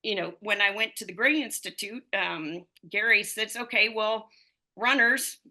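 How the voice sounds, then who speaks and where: Woman, fifties, running coach, rambling guest storytelling, bright tone. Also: medium pitch, a little slow. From United States. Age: 50s. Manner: rambling storytelling with many fillers. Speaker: running coach and physical therapist. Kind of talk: conversational podcast interview.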